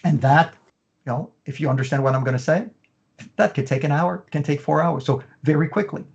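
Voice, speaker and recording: A man's voice: a little high, fairly quick, creaky. Middle-aged man, muffled call audio. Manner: talks in long lists with many "you know" fillers. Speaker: fashion business consultant. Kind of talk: interview.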